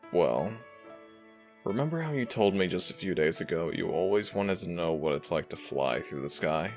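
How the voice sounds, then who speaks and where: Smitten dragon shifter prince played by a man for romantic audio drama: very low, slow delivery, tender and hesitant. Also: heavily acted. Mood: smitten, excited. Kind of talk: ASMR romantic audio roleplay addressed to listener.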